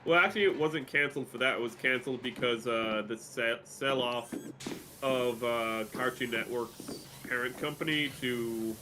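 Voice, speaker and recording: A man with a bright, forceful voice chatting casually while streaming a game. He rambles animatedly, with many fillers. A faint hum of background noise sits under his voice. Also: mid-range voice, moderate pace. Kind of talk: casual chat while gaming.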